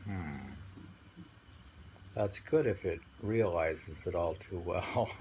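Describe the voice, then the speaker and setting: A man reading poetry aloud and commenting on it informally, in a contemplative way, pausing to muse between phrases. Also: low-pitched, a little mellow. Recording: amateur recording with faint background noise.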